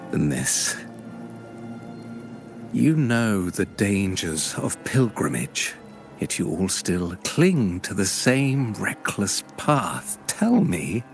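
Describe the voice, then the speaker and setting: A man's voice: on the low side, vocal fry, exaggerated voice. An actor playing a menacing, scornful zealot clergyman in a video game, speaking in a condescending, theatrical manner.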